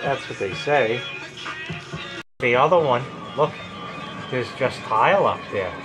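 A man's voice: medium pitch, lively intonation, takes it slowly, over music, poor audio. A man giving a casual, rambling walkthrough as he films home repairs, swearing freely with a wry, crude manner. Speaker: homeowner filming his plumbing repair.

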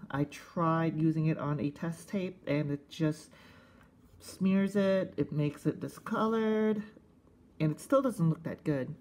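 Halting, even-toned woman reviewer, low-pitched. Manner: halting, conversational, thinking aloud. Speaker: host of a cassette collecting video channel. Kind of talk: casual unscripted hands-on review commentary. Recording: faint background noise, casual tablet recording.

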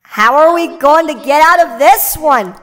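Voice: high-pitched, animated, unhurried, fairly bright tone, in a large hall. An actor voicing an earnest young adventurer in a children's cartoon, with faint music behind. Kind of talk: animated children's cartoon acting.

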